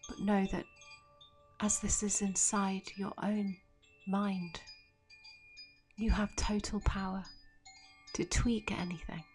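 A woman guides a meditation very slowly, leaving long, gentle pauses. Her delivery is even and soothing, with little melodic movement and soft, breathy phrase endings that settle into a creaky fry. Faint music with chimes sits behind her. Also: mid-range voice.

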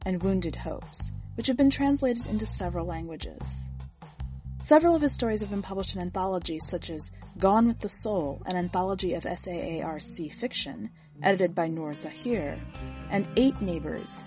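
A woman reading scripted narration for a literary fiction podcast in a measured, deliberate and expressive way. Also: on the low side, faint music behind. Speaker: podcast host and story reader.

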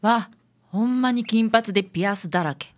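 A woman's voice: on the high side, moderate pace, close to an everyday voice. A woman playing a perky young female detective's assistant with a Kansai accent in a radio drama. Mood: excited. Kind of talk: radio drama narration and dialogue.

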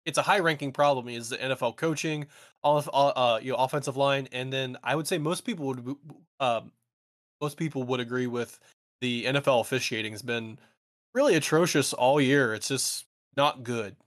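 A man's voice: medium pitch, moderate pace. A man co-hosting a casual sports podcast, often stumbling and repeating words as he starts a thought. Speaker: podcast co-host.

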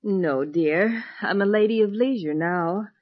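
An actress playing a gentle middle-aged mother, affectionate but easily wounded, in a mid-century radio drama. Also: mid-range voice, measured pace, close to an everyday voice. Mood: calm. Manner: genteel, affectionate, expressive. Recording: dull lo-fi old radio recording.

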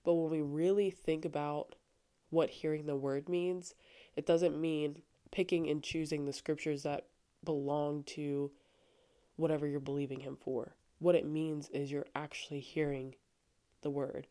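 A young adult woman with a low voice talking earnestly and casually on her faith podcast, with frequent fillers. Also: flat delivery, a little slow, warm tone, clean tone. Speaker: podcast host. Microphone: studio microphone.

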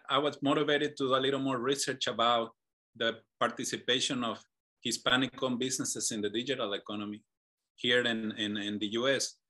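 A man from Mexico speaks over a video call, recounting how he came to found a business association. His tone is bright and earnest, and he repeats words as he reaches for the right phrasing. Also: mid-range voice. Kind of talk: online meetup guest telling his story.

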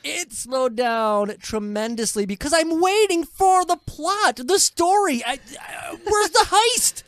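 A woman's voice: high-pitched, animated, slow, a bit bright, no echo, clean studio sound. A woman hosting a casual film review chat, speaking emphatically and with strong opinions. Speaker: film review podcast host.

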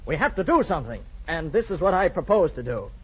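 Husky, high-pitched railroad tycoon. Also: male voice, sing-song, fast, full character voice. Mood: resolute. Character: determined, hard-driving railroad magnate laying out plans. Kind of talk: 1940s radio acting. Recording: muffled 1940s radio recording.

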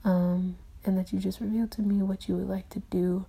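A woman speaking in a soft, hushed half-whisper, earnestly rambling through a personal video diary and spoken prayer. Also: medium pitch, little pitch movement, moderate pace, creaky, slightly rough. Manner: earnest, rambling, with many fillers. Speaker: video diarist.

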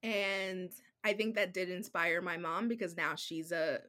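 A woman's voice: lowish voice, a little slow. Chatty woman, Spanish her first language. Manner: relaxed, chatty, heavy use of "like" and "um". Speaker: children's book author, guest. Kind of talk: casual podcast conversation.

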